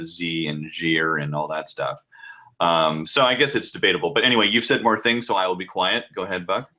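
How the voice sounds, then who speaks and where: A man's voice: deep voice, bright, forceful tone. A man hosting a casual interview, asking questions in a hesitant, rambling way with frequent restarts. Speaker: interviewer, host.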